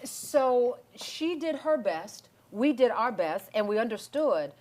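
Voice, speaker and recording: A woman's voice: on the high side, very animated, takes it slowly, darkish tone. A woman hosting a podcast panel discussion, conversationally guiding her guests with questions. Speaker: podcast host. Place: podcast studio.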